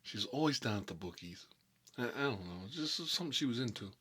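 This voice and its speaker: A man's voice: mid-range voice, lively intonation, fast, a bit bright, slight background noise, close to an everyday voice. A man playing a hot-headed, sentimental mob boss in an improvised comic monologue, talking in a rambling, repetitive, colloquial way. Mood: nostalgic rambling.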